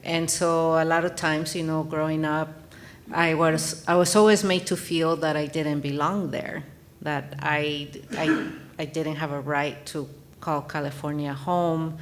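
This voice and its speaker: A woman's voice: low-pitched, flat delivery, unhurried, a little hiss or hum. A woman from Mexico, a native Spanish speaker, speaking as an author guest at a live panel in an earnest, reflective way with frequent restarts. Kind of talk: panel discussion.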